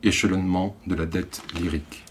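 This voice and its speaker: A man with an accent from France reads literary poetry in a measured way, in short phrases set apart by deliberate pauses. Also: sits low, fairly even intonation, a little hiss or hum. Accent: French from France. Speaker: poet reading own work.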